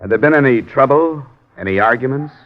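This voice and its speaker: A man's voice: medium pitch, lively intonation, fairly quick, natural voice. A man playing a hard-boiled insurance investigator in old American radio drama, clipped and matter-of-fact, with an American accent. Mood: probing. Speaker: lead actor and narrator. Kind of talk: 1940s-50s American radio detective acting. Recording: muffled old radio recording.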